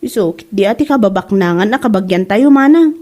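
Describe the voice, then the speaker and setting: A woman's voice: medium pitch, expressive, a little fast, warm tone, lightly acted. A woman playing an anxious, naive young sister in a comedy drama, plaintive and full of questions. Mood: worried, plaintive. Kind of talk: comedy drama voice acting in Ilocano.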